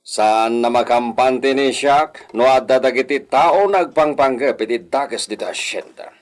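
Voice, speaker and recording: A man's voice: medium pitch, a little slow, natural voice. A man playing a wary, authoritative hacienda landowner in a radio drama. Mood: suspicious.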